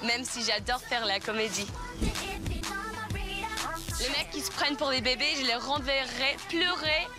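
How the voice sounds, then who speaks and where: A woman's voice: sits high, unhurried, pauses often. Teasing young woman over TV music. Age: 20s. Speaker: contestant on a TV dating show. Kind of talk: TV dating show dialogue. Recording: TV show audio with music under it.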